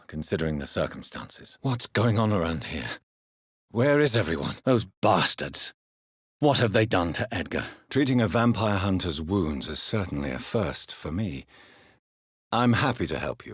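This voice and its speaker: A troubled gentleman doctor turned vampire in dramatic game dialogue. His voice is very low and husky, with an expressive, wide-moving melody. He speaks in a formal, measured, courteous way, grave and earnest, in a close, dry recording. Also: no room sound, heavily acted. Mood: stern, intense. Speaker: video game protagonist.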